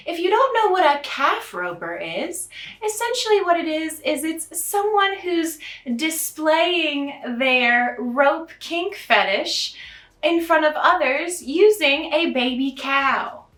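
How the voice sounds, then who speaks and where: High, bright, theatrical American storyteller. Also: animated, takes it slowly. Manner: theatrical, sarcastic mock sweetness. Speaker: storytelling host in a drag elf persona. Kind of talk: satirical storytime to camera, mock fairy tale. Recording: roomy, echoing sound.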